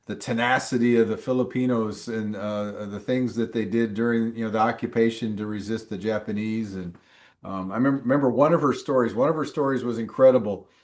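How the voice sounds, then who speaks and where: A man's voice: fairly low pitch, fairly even intonation, brisk, a little mellow. Chatty male instructor who rambles. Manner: rambling and chatty, with many ums and restarts. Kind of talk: casual chat with students in a live class.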